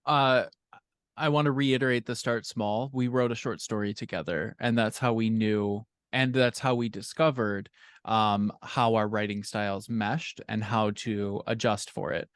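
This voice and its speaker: A man's voice: lowish voice, steady tone, measured pace, clear, resonant tone, no room sound. A man speaking conversationally as an author guest in a podcast interview, explaining his experience. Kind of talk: casual conversational interview answers.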